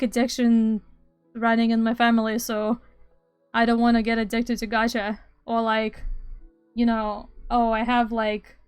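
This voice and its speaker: A woman's voice: fairly high pitch, smooth, no echo. A young woman from Estonia, streaming and chatting casually, rambling with dry sarcastic asides. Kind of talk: casual live-stream chat. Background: faint music.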